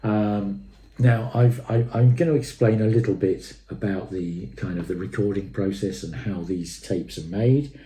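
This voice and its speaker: A man with a low, mellow voice speaks in a roomy, reverberant space. He delivers an off-the-cuff review full of enthusiasm, leaning on repeated words for emphasis. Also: fairly even intonation, a little slow. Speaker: music and tape reviewer. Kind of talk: enthusiastic unscripted review monologue. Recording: echoey room sound.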